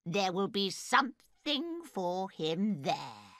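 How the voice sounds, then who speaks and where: A man reading an audiobook, voicing a bitter, shrewd rich old lady who speaks slowly with scorn. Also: high-pitched, very animated, fairly bright tone, no room sound, clean studio sound, voice pushed up, full character voice. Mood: scornful. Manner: slow, laughing scorn.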